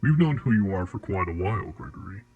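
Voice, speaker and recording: An actor in an audio drama playing a cold, composed, mysterious male interrogator, measured, formal and menacingly polite. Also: low voice, animated, slightly rough, narrow, tinny audio, full character voice. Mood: coolly polite.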